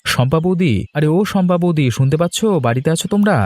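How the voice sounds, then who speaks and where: Man playing a quick, high-voiced, harried husband. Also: darkish tone, no echo, natural voice. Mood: concerned. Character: hard-up, harried young husband, henpecked but dutiful. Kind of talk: Bengali moral cartoon dubbing.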